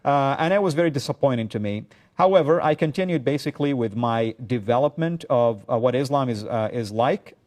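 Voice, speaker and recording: A middle-aged man from Saudi Arabia, hosting a talk show, speaking earnestly and measuredly with frequent pauses. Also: medium pitch, darkish tone. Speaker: show host. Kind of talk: talk-show monologue to a guest.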